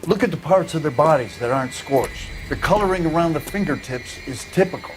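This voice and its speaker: An actor playing a middle-aged fire investigator, explaining matter-of-factly in a crime video game. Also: male voice, fairly high pitch, animated, a little fast, slight background noise, natural voice, rough recording.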